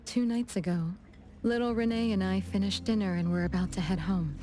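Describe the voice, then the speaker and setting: A woman's voice: medium pitch, steady tone, a little fast, dark tone, lightly acted. Woman as poised, gracious young lady. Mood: calm, teasing. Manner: composed, polite, lightly teasing. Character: poised, gracious young woman of a prominent family, gently teasing. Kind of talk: game dialogue acting, storytelling. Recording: music behind the voice.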